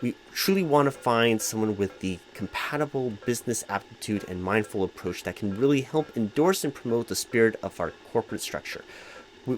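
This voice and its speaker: A man's voice: lowish voice, slight background noise, close to an everyday voice. A man playing a distracted, flustered corporate hiring manager in a comedic roleplay, speaking in wordy, stammering office formality. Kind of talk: comedic one-sided ASMR-style roleplay, spoken aloud.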